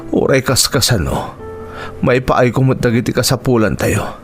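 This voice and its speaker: A man's voice: medium pitch, normal speed, faint music behind, close to an everyday voice. A man acting a weary, sorrowful poor father in a Filipino radio drama, plaintive, with a Filipino accent. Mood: aggrieved, sad.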